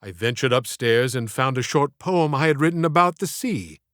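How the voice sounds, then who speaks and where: Male narrator, literary audiobook, crisp tone, very dry close sound, theatrical and measured. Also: medium pitch, animated, a bit bright, dry recording. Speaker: author narrating own audiobook.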